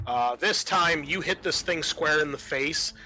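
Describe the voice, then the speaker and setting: A man narrating a tabletop roleplaying game as game master, casually, pausing as he goes and reading out rules. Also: a little high, pushed and bright, soft background music, rough recording.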